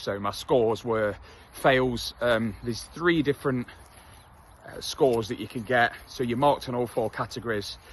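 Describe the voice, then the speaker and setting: English man, 30s, mid-pitched, candid self-deprecating vlog chat on a jog, faint street noise behind. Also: a bit bright. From England. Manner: candid, self-deprecating, chatty with many fillers. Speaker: vlogger. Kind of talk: casual talk to camera while jogging. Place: outdoors on a street.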